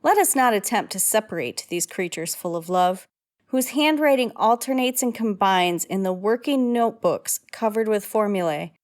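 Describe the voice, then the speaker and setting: Woman narrator with a mid-pitched voice. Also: animated, normal speed. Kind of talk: scripted old-time radio drama narration.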